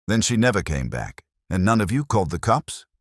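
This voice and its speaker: A man's voice: fairly low pitch, very animated, brisk, dry recording, studio quality, voice pushed up. A man voicing a wry, probing male private investigator in a detective audiobook, sardonic and pressing.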